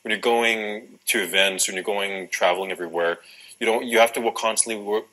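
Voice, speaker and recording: A young man in an archive TV interview and audition, talking in a vain, halting way that is full of "like". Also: lowish voice, steady tone, sharp tone, poor audio. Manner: vain, filler-heavy, halting with lots of "like". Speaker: casting contestant.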